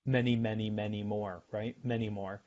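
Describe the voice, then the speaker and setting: A middle-aged American man, a sales author and podcast guest, explaining his ideas in a measured way and often repeating words as he thinks. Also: fairly low pitch, level tone, a little mellow. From United States. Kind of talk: podcast interview. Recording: dull lo-fi remote audio.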